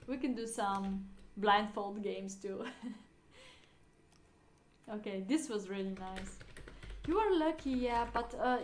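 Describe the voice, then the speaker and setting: A woman streaming chess and thinking aloud casually in short, simple phrases with non-native English wording. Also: medium pitch. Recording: roomy, noisy mic with keyboard clicks.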